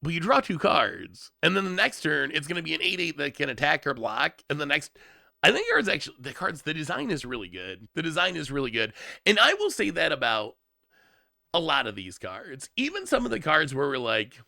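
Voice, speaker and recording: A man's voice: fairly high pitch, big pitch swings, a little fast, gravelly, pushed and bright, no echo, clean studio sound. A man thinking aloud on a casual livestream, often repeating words, with a voice worn down from congestion. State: losing his voice from congestion. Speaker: streamer reviewing card spoilers.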